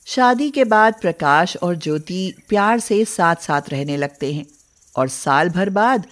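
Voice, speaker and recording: A woman's voice: on the low side, expressive, a little slow. A woman reading scripted narration for moral bedtime stories as the storyteller.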